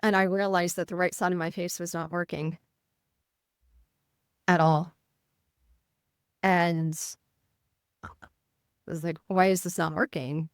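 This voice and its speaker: A middle-aged woman nurse with a Midwestern American accent, speaking with a voice that can sound slightly rough, telling a personal story in an interview. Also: lowish voice, little pitch movement, slow pace, long pauses, no room sound, clean studio sound. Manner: earnest, vivid retelling with quoted inner thoughts. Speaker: nurse, podcast guest.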